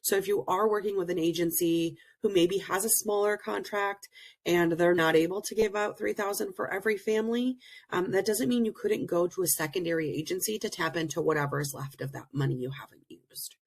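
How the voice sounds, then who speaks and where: Hoarse woman giving a webinar talk. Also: fairly low pitch, fairly even intonation. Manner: earnest, explanatory, many pauses. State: hoarse, recovering from illness. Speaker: presenter and program director. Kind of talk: webinar presentation. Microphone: video call.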